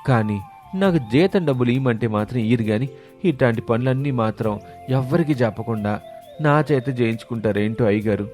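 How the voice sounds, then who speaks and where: A man voicing a humble servant in an animated moral story, grumbling in puzzlement yet respectful to his master. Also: mid-range voice, brisk, faint music behind, close to an everyday voice. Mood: puzzled grumbling.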